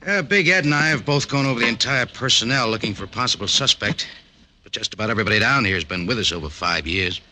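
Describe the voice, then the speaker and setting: A man's voice: on the low side, animated, fast talker, lightly acted. An actor playing an amiable, easygoing American factory foreman with a casual, reassuring manner in an old radio drama. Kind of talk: 1940s radio drama acting. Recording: faintly noisy old radio recording.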